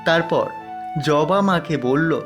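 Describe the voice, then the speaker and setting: A man's voice: fairly high pitch, takes it slowly, music in the background. A man narrating a scripted cartoon fairy tale as its storyteller.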